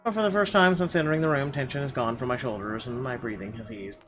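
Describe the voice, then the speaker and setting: A man with a mid-pitched voice reads a visual novel's narration aloud. His delivery is expressive and a little halting, with clear music playing behind him. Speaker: game player reading narration aloud.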